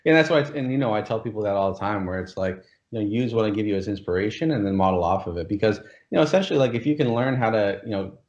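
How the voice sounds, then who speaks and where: A man's voice: fairly low pitch, quick pace, darkish tone, echoey room, highs cut off. A man hosting an interview, talking enthusiastically and filling his speech with "like" and "you know". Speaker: course creator, interview host. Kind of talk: casual interview over a call.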